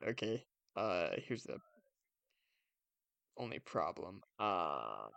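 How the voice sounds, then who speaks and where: A man co-hosting a podcast in casual, comedic chat, speaking in halting bursts with repeated phrases. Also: low voice, very animated, gravelly, fairly bright tone, no room sound. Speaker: podcast co-host.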